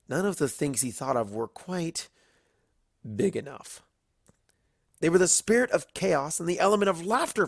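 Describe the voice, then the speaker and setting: A storyteller reads scripted fiction narration expressively. The pitch moves in a lively way, and the sound is dry and close. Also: dry recording.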